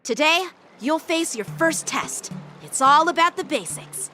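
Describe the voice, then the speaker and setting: A woman's voice: high voice, expressive, unhurried, bright, forceful tone, faint music behind, exaggerated voice. A woman voicing a polite, composed young swordplay teacher in an anime-style game, formal and earnest. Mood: instructive, serious.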